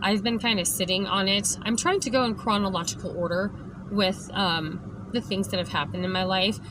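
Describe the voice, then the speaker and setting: A woman vlogger with a hoarse voice, talking emphatically straight to the camera and often tagging lines with "okay?". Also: medium pitch, steady tone, moderate pace. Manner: emphatic, keeps adding "okay?". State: hoarse, lost voice. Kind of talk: direct-to-camera rant. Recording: background noise.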